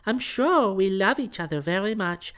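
Guy doing a shy sweet french girl in an audiobook, way up high, gushy and bashful. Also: animated, moderate pace, a bit bright, smooth. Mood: bashful, happy.

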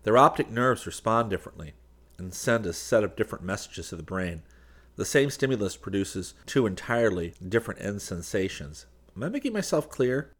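A man's voice: lowish voice, very animated, brisk, a bit bright. A man voicing a confident, plain-spoken adventurer in an audiobook, with a wry, folksy manner. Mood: explaining. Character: confident, breezy adventurer man who explains things.

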